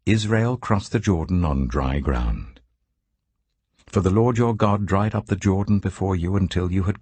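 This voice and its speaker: A man reading scripture as a scripted narration, in a solemn, measured storytelling manner with deliberate phrasing. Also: low-pitched, a little mellow, husky, dry recording. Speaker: Bible narrator.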